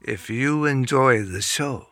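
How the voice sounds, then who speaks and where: A man's voice: medium pitch, slow pace, bright, forceful tone, dry recording. A man reading scripted end credits as an announcer.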